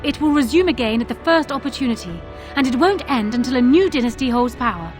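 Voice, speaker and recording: A self-assured fantasy-game queen with a high-pitched voice. She speaks in formal, assertive, regal phrases, proud and shrewd, with faint music behind her. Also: expressive, quick pace. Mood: scornful certainty. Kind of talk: video game voice acting.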